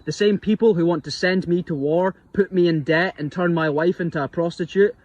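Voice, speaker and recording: A man's voice: high-pitched, fairly quick, darkish tone, no echo, dull audio. A man delivering an impassioned, preachy monologue in an intense tone.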